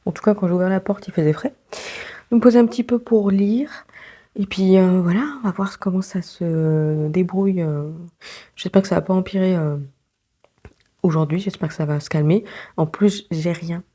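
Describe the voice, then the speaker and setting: A woman's voice: fairly low pitch, steady tone, moderate pace, warm tone. A young woman vlogging casually, congested with a sore throat, chatting with many fillers and laughs. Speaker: book vlogger. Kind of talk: casual vlog chat.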